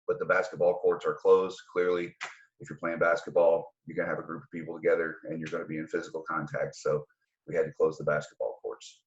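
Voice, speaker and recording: A man speaking as a mayor, reading a formal public address from notes in a measured, careful way. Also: low-pitched, dull audio. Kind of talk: formal public announcement read from prepared notes.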